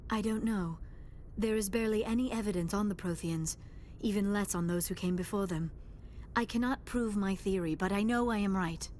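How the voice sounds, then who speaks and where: Sci-fi game: actress as youthful female alien researcher, medium pitch, formal, precise, earnest, faint rumble behind. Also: normal speed, darkish tone, natural voice. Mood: earnest, intent. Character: earnest, polite young female alien scholar. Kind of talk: video game dialogue acting.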